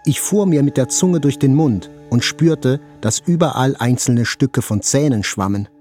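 An actor playing an attack survivor, telling events in a sober, precise, scripted literary narration. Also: male voice, mid-range voice, measured pace, darkish tone, faint music behind, lightly acted. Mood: sober, detached.

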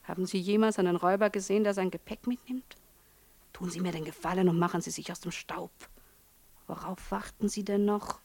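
An actress playing a shrewd, commanding lady in a 1960s radio play, speaking calmly and pointedly. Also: on the low side, fairly quick, gravelly. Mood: cool, commanding. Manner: calm, pointed, instructing.

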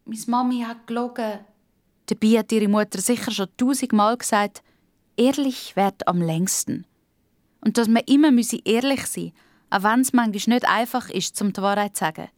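A woman's voice: medium pitch, normal speed, a little mellow, no room sound, studio quality. Swiss German woman narrating a children's story.

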